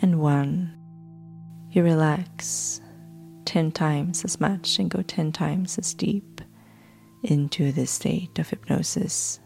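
Female sleep-hypnosis guide: soft, soothing delivery, unhurried pace, noticeable vocal fry, music underneath. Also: deep voice, fairly even intonation. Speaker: podcast host guiding sleep hypnosis. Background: background music.

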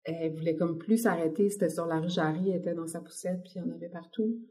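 A middle-aged woman from Quebec with a Québécois accent, talking casually as an interview guest and pausing often on fillers. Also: fairly low pitch, fairly even intonation, dark tone. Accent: Québécois French. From Quebec, Canada. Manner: hesitant, many fillers. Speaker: guest artist.

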